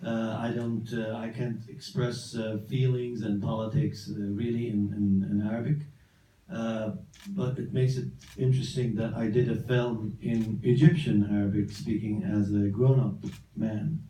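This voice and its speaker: Man, very dark voice, slow, stop-start and playful, echoey distant cinema recording. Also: on the low side, fairly even intonation. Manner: hesitant, then joking. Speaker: actor guest. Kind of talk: post-screening Q&A answer. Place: cinema auditorium. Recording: echoey hall, distant, faint ambience.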